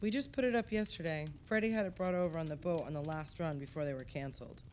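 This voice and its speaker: An actress playing a welcoming older wife hosting a holiday gathering in a naturalistic audio play. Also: female voice, sits low, fast talker, darkish tone, a little hiss or hum, close to an everyday voice. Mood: chatty.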